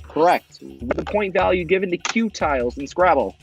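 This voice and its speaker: A man hosting a quiz game show, reading questions and ruling on answers in a brisk, formal way. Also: high-pitched, expressive, gravelly, dull audio, music in the background. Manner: brisk, formal quizmaster delivery.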